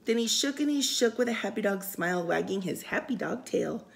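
A woman's voice: mid-range voice, lively intonation, a little fast, creaky. Woman reading a children's picture book aloud. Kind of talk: read-aloud children's storytime with casual asides. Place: indoors, room by a window.

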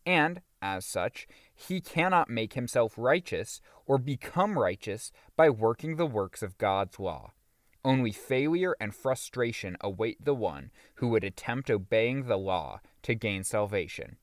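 Animated male audiobook narrator, dry close sound. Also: fairly low pitch, a little slow, no echo. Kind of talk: scripted narration of a religious book.

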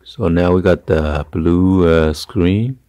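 A man giving a casual hands-on tech tutorial in a halting, hesitant way. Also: sits low, mellow, dry recording. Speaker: tutorial host. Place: carpeted room indoors.

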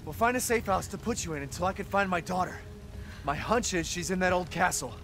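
A man's voice: high-pitched, expressive, brisk, a bit bright. An actor playing a determined man searching for his daughter in a game cutscene, urgent and reassuring. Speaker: protagonist. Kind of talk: video game cutscene acting.

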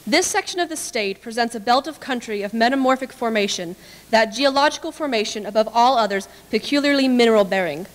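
A young woman giving a measured, formal reading of a historical text at a podium microphone. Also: fairly high pitch. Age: young adult. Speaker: reader at a staged dramatic reading. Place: large indoor hall with small audience. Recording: echoey hall, podium microphone.

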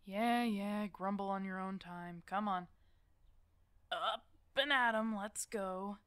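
A woman's voice: mid-range voice, slow, a bit bright, smooth, no room sound. A woman playing a protective, teasing werewolf mentor in an ASMR roleplay, casual and bossy but affectionate. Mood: teasing, coaxing. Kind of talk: ASMR roleplay audio drama addressed to the listener.

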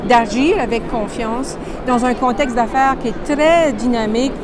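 A high-voiced woman with a Quebec French accent hosts an impromptu interview in a busy exhibition hall. She talks in an informal, effusive way, and a loud crowd surrounds her as she speaks into a phone. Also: unhurried, heavy background noise. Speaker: interviewer, book club host. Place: large indoor exhibition hall at a book fair. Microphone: phone at arm's length.

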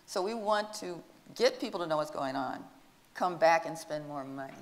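Enthusiastic woman with a low voice. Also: expressive, moderate pace, big-room sound, slight background noise. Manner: enthusiastic, promotional. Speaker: panelist from an airport arts program. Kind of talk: panel discussion. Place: stage before an audience in a large hall.

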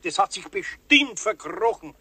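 Older Bavarian craftsman, radio play. Blustering and folksy, bright, forceful, husky voice. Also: high-pitched, expressive, unhurried, full character voice. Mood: flustered protesting. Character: flustered, grumbling older Bavarian master craftsman. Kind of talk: German radio play acting.

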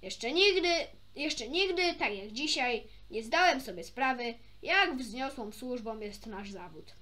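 A woman's voice: fairly high pitch, lively intonation, a little slow, bright, forceful tone. A woman voicing a pompous, bossy male school inspector in a storybook, speaking formally and emphatically. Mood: solemn praise.

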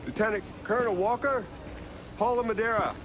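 Actor as a high-voiced, polite company boss. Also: male voice, a little fast, noisy background, natural voice. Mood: cordial. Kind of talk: video game cutscene acting.

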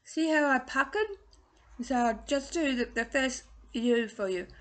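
A woman's voice: high-pitched, unhurried, a bit bright. A woman in her sixties with an Australian accent carrying a trace of English, teaching singing from a small room at home and carefully enunciating vowel words into a laptop webcam. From England, raised in Australia. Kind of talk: home video singing lesson.

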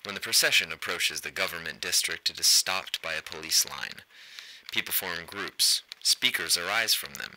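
A man's voice: low-pitched, normal speed, bright, forceful tone, a little hiss or hum, heavily acted, low-quality recording. An actor playing a grim, self-loathing, disillusioned man, giving an intense, declamatory monologue in a radio play.

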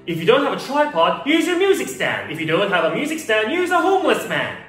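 A young man hams his way through a comedy music lecture, his voice high and swooping all over the place. He bounces from yelling to muttering. The sound is roomy and a bit distant, with music playing under him. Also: wildly swooping pitch, fast talker. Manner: playful, exaggerated, jumps between shouting and mumbling. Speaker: music teacher and comedy host. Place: small room at home. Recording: echoey room, mic at a distance, music underneath.

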